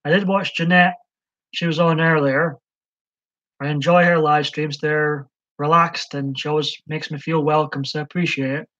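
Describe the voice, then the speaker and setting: A man's voice: fairly high pitch, steady tone, normal speed, a bit bright. A man hosting a casual live stream, chatting hesitantly with lots of ums as he answers viewers' comments. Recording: dull lo-fi stream audio.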